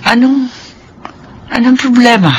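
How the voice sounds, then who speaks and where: A woman's voice: a little high, unhurried, slight background noise, natural voice. An actress playing a protective, practical middle-aged mother-in-law in a Filipino radio drama. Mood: worried, firm.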